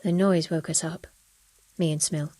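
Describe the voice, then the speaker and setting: Casting: bereaved villager woman played by an actress, game drama, frank and subdued, dark warm tone, dry recording. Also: deep voice, steady tone, moderate pace, no room sound, lightly acted. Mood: shaken, sorrowful. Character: shaken, bereaved medieval village widow, frank and weary. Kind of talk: dramatic video game acting.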